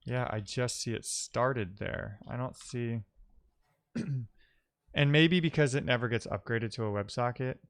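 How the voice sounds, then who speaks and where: A man's voice: medium pitch, unhurried. A man live-streaming a coding session, casually thinking aloud and muttering as he troubleshoots, with wry asides. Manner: muttering to himself while troubleshooting.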